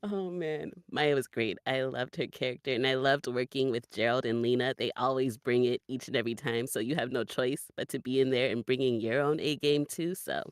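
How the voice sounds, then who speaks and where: A woman's voice: a bass voice, brisk, hardly any pauses, smooth, dry recording, studio quality. A woman who works as a voice actor, chatting in an upbeat, informal way as an interview guest. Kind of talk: casual interview chat.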